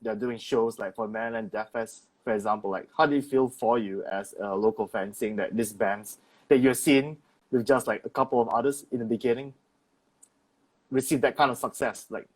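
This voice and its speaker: A man's voice: mid-range voice, steady tone, moderate pace, poor audio. A man hosting a casual interview, rambling through long questions full of "like". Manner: rambling, many "like" fillers. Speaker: host.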